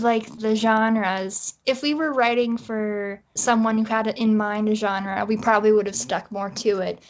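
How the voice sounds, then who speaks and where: A woman with a mid-pitched voice talks conversationally as an interview guest. She is a writer explaining her work, heard on a slightly dull, lo-fi recording. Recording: dull, band-limited audio.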